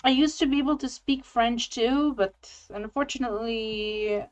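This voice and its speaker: A woman whose first language is Romanian, chatting casually and hesitantly as a guest on a live stream over a remote call. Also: a little high. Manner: slow, hesitant, with frequent fillers. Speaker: guest artist. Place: at home, living in Germany. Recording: dull lo-fi sound with faint music.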